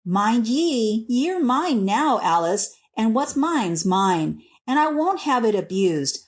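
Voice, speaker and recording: A woman's voice: on the high side, sing-song, takes it slowly. A woman voicing a plain-spoken, devoted young working man in a storybook, with rustic dialect speech. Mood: possessive, fond.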